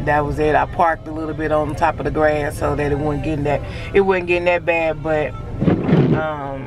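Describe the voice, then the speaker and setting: A middle-aged woman chatting casually in an unhurried drawl as she vlogs from inside a car. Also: deep voice, level tone, music in the background. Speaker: vlogger. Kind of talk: casual chat while driving.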